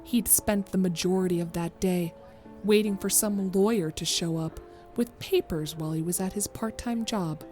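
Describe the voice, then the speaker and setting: A woman's voice: mid-range voice, normal speed, warm tone, soft background music. A woman narrator reading a scripted story.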